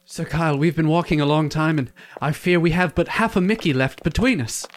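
A man's voice: a little high, a little fast, slight background noise, lightly acted. A man playing a tipsy, old-fashioned squire. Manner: flowery mock-olde speech with hesitant fillers. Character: tipsy, loyal young male squire with archaic speech. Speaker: improv comedian.

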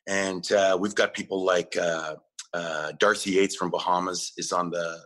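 A male musician answering interview questions, halting often with fillers and restarts. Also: low voice, steady tone, a bit bright. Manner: hesitant, many fillers and restarts. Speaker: musician guest.

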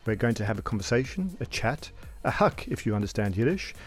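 A man's voice: mid-range voice, big pitch swings, fairly quick, faint music behind. A man in his forties from Cape Town with a South African accent, co-hosting a casual radio chat with dry humour. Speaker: co-host, business coach.

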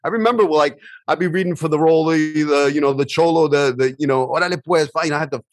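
Middle aged guy hosting a podcast, slightly high voice, dry room, says you know a lot. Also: fast, no room sound. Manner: chatty, many "you know" fillers. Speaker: podcast host and actor. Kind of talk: casual podcast conversation.

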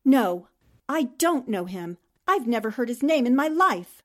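A woman playing a defiant, sharp-witted young newspaperwoman held captive, speaking firmly and dramatically in old-time radio drama style. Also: a little high, sing-song, measured pace. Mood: guarded, defiant.

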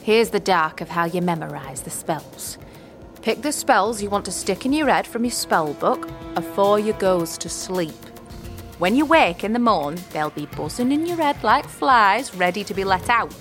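A woman's voice: mid-range voice, lively intonation, normal speed, fairly bright tone, soft background music, close to an everyday voice. A woman playing a crotchety but kindly old hedge witch in a fantasy audio drama, teasing and speaking in folksy rustic dialect. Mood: instructive.

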